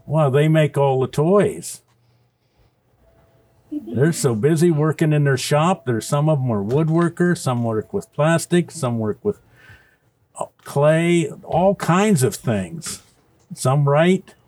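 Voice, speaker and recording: A man playing a jolly, kindly old Santa Claus, gently teasing and grandfatherly as he entertains children. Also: on the high side, animated. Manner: chuckling, unhurried, gently teasing. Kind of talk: storybook reading and unscripted chat with children.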